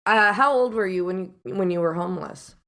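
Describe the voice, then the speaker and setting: A woman hosts an interview, her voice lower than most. She asks questions haltingly, with fillers and restarts as she finds her words. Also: a bit bright. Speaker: podcast host.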